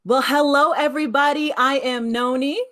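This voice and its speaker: A woman co-hosting a chat show, enthusiastic and quick to cheer and react. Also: sits high, steady tone, music in the background. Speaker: podcast co-host. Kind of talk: casual chat.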